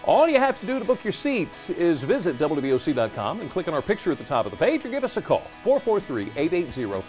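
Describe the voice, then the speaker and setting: Animated middle-aged male TV host. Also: a little high, fast talker, faint music behind. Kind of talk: TV magazine show hosting. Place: TV studio set.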